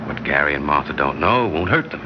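Cheating husband, male: deep, uneasy, conscience-stricken, vintage radio drama on a muffled recording. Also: expressive, fairly quick. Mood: assured, intimate. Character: uneasy, conscience-stricken married man having a secret affair. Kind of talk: classic radio drama acting. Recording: noisy band-limited old radio recording, vehicle sound.

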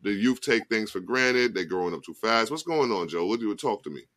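A man's voice: on the low side, expressive, brisk, fairly bright tone. A man in his forties hosting a casual chat show, talking loosely and often checking in with "you know what I mean". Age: 40s. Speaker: podcast host.

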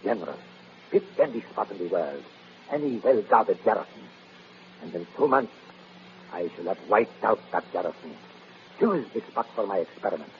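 A sinister, scheming doctor of old-time radio drama, formal and insinuating as he persuades. His voice is on the low side, heard through a muffled, noisy vintage recording. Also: very animated, normal speed, long pauses. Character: sinister scheming male doctor, adventurer and former spy. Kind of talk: 1930s radio drama acting.

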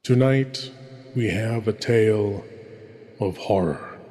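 A man hosting a horror show, delivering a theatrical scripted introduction with ominous, dramatic pauses. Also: fairly low pitch, a little mellow. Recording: long reverb, music behind. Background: music.

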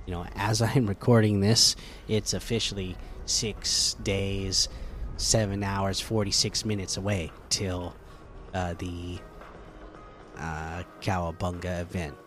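A man hosting a casual gaming podcast, chatty and relaxed with lots of fillers, slightly hoarse from a cold. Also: low voice. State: catching a cold, losing voice. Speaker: podcast host.

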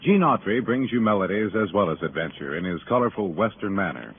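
A man's voice: lowish voice, expressive, a little fast, a little hiss or hum. Emphatic, swooping vintage male radio announcer. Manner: polished, emphatic, formal. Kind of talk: 1950s radio announcing, scripted promo. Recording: muffled old radio broadcast with music.

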